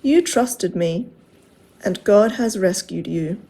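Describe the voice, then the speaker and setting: Earnest, forgiving storybook holy man, read by a woman, mellow, slightly husky, plain-spoken. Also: mid-range voice, animated, slow.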